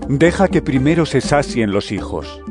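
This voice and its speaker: An actor playing a solemn, authoritative male teacher and healer in a dramatized scripture audio drama, speaking in a measured, deliberate way. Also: mid-range voice, big pitch swings, music in the background, lightly acted. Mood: firm, gentle.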